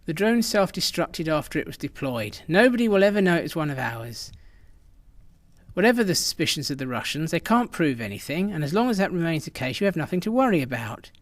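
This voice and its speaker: An actor plays a ruthless male intelligence chief in a radio drama. His voice sits a little high for a man, and he stonewalls with brusque, dismissive confidence. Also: big pitch swings, a little fast. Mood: dismissive, assured.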